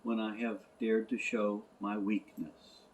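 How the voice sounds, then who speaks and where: Man playing a slow, wise storybook horse. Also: fairly low pitch, flat delivery, poor audio. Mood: calm, reassuring. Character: large wise male horse, calm and reassuring.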